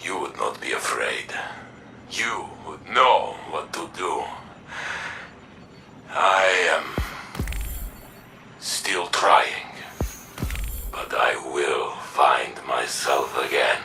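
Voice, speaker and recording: A weary robot warrior in a video game, earnest and full of self-doubt. His voice is deep and forceful, yet he speaks very slowly and solemnly, with halting pauses. Music plays behind him. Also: lively intonation, creaky, distant mic, heavily acted. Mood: sorrowful, resolute. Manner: slow, solemn, halting delivery with weighty pauses.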